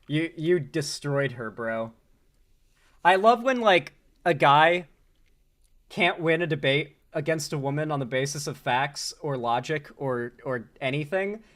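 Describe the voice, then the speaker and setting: Male stream host reacting live, slightly raised pitch, loose and sarcastic. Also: expressive, unhurried, fairly bright tone. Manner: casual, sarcastic, frequent "like". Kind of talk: live political commentary.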